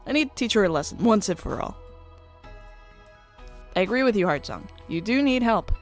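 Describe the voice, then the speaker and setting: A woman's voice: medium pitch, animated, normal speed, lightly acted. Woman acting a snippy, sceptical idol superfan. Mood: indignant, scornful. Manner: snippy, blunt, indignant. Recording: music playing behind the voice.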